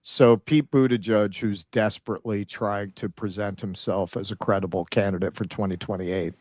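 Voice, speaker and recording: A man co-hosting a political show, giving casual, sardonic commentary with halting, repeated starts. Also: fairly low pitch, fairly even intonation, dry recording, lo-fi sound. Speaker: political talk show co-host.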